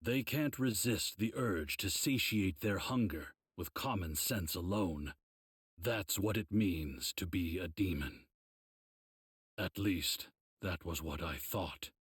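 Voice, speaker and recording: An actor playing a grave old mentor of demon slayers, explaining things in a measured, solemn way for a video game. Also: male voice, low voice, breathy edge, dry recording, clean studio sound, heavily acted. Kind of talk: English game dub, measured explanatory dialogue.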